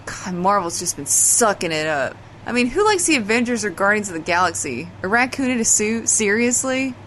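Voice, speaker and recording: A woman's voice: medium pitch, sing-song, normal speed, fairly bright tone, a little hiss or hum, low-quality recording. An actress playing a brash, sardonic woman in a casual, slangy comedy audio drama. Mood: scornful. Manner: sarcastic, casual, slangy, swearing. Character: brash, foul-mouthed, sardonic woman from another timeline.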